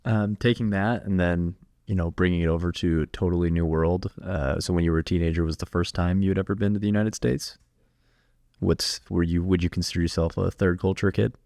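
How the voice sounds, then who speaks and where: A man's voice: sits low, steady tone, brisk, creaky, a little mellow, no room sound, studio quality. A man interviewing a business founder, asking plain, short questions.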